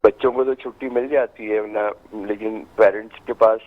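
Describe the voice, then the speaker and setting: A Pakistani man explains his opinion over a tinny phone line in a low voice. He speaks slowly and with many pauses, and he keeps checking that the listener agrees with him. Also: steady tone. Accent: Pakistani Urdu. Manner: deliberate, hesitant, checks for agreement. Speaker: guest commentator on a phone line. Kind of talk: explanatory talk.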